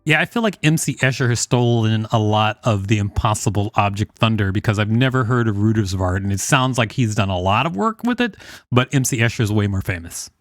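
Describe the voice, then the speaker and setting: A man's voice: fairly low pitch, expressive, a little fast, no room sound, clean studio sound, soft background music. A man co-hosting a podcast, reading encyclopedia text aloud in a conversational way with casual asides. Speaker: podcast co-host.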